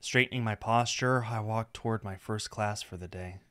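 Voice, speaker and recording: A man streaming a visual novel, reading the story aloud as expressive scripted narration. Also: lowish voice, normal speed, smooth, no room sound, studio quality.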